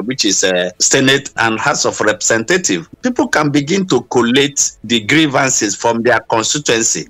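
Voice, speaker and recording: A male Nigerian analyst lays out explanatory commentary in a middle-pitched voice with a sharp, forceful edge. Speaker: public affairs analyst.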